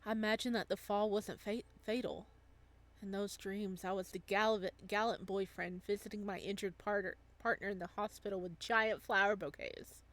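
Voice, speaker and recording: Wistful adult boyfriend narrator female reader, visual novel, gentle, soft, reflective delivery. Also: medium pitch, lightly acted. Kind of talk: visual novel story reading.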